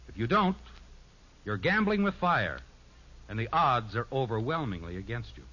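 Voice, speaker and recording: A man's voice: medium pitch, very animated, moderate pace. A man with an American accent reading scripted narration as a 1950s radio announcer, earnest and emphatic. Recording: dull old radio recording.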